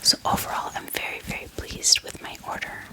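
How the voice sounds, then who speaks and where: A woman speaking in a breathy whisper, gently and unhurriedly, in a casual ASMR show-and-tell style. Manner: gentle, unhurried, hesitant pauses. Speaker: ASMR book haul vlogger. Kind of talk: soft-spoken whispered ASMR, casual and unscripted.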